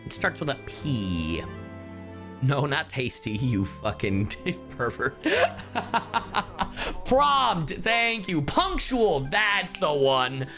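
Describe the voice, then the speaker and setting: A game streamer giving casual livestream reactions, playful, exclamatory and quick to swear. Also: mid-range voice, big pitch swings, sharp tone, soft background music.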